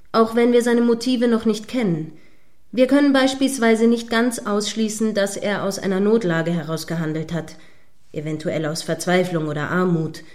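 A woman acts out a female radio news presenter in a mock live broadcast. Her voice sits at a medium pitch. She is earnest and prim, setting out long, formal sentences in a measured, correcting manner. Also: darkish tone, close to an everyday voice. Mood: stern, moralizing. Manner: formal, measured, wordy phrasing.

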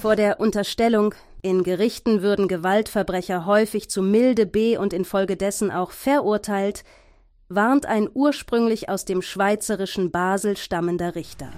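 An actress playing an earnest radio news presenter, speaking formally and composedly in a satirical radio play. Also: female voice, medium pitch, moderate pace, darkish tone, dry recording, studio quality, close to an everyday voice.

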